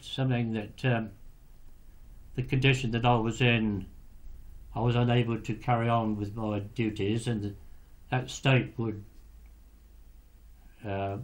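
An old former lighthouse keeper tells his memories at an unhurried crawl. He stops often, hunting for words between fillers, his voice sitting at an ordinary middle pitch. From England. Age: older. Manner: halting, hesitant, many pauses and fillers. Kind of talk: recorded wartime recollection.

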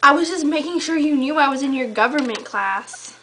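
High-voiced young woman teasing on a call. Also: expressive, brisk, a bit bright. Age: young adult. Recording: noisy amateur home camera recording.

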